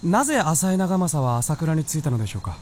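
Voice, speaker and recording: A man's voice: fairly high pitch, big pitch swings, fast, slight background noise. A man playing a polite, inquisitive companion to a princess in a period radio drama. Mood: curious, polite.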